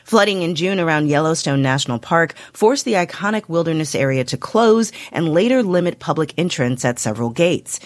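A radio host reads scripted news introductions in a smooth, even way. The studio sound is very dry, and the voice sits at a middle pitch. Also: fairly quick, hardly any pauses, dry recording.

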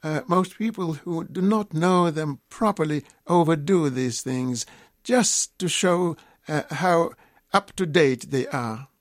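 An older man, a native Russian speaker with a Russian accent, talking deliberately and hesitantly as an expert guest in a radio interview. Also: a little high, no echo, clean studio sound.

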